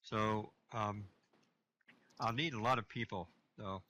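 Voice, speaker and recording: A man organizing a script reading, speaking hesitantly and pausing to find his place. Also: lowish voice, steady tone, sharp tone. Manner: hesitant, many fillers. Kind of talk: casual chat.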